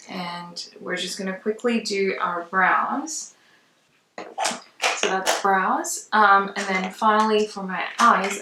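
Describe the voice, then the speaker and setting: A woman's voice: mid-range voice, background noise. A woman giving a casual makeup tutorial in a chatty, conversational way. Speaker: makeup vlogger. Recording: roomy echo, distant mic.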